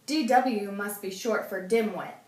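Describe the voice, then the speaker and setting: A woman's voice: mid-range voice, a little slow, close to an everyday voice. Young boy, jittery but trying to be brave; woman reader, roomy distant mic. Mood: annoyed.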